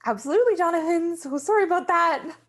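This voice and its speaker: A woman's voice: very high-pitched, animated, fast talker, a bit bright. A woman playing a nervous, sardonic young office worker in a screenplay table read, speaking in a casual, wry way. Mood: fake sweet.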